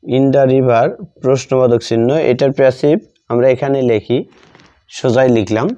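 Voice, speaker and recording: A man with a Bengali accent teaching English grammar, dictating as he writes and repeating each phrase deliberately, in a dull lo-fi recording. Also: medium pitch, little pitch movement, dark tone, clean tone. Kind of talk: grammar lesson, dictating while writing.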